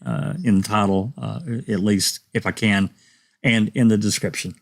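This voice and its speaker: A man hosting a casual discussion of recorded calls, speaking in a halting, conversational way. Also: fairly low pitch, fairly even intonation. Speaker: host.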